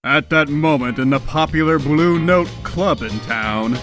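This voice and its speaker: A man gives a dramatic, scripted comic book narration. His delivery is theatrical and emphatic at an unhurried pace, with music clearly heard underneath. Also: on the high side. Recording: music behind the voice.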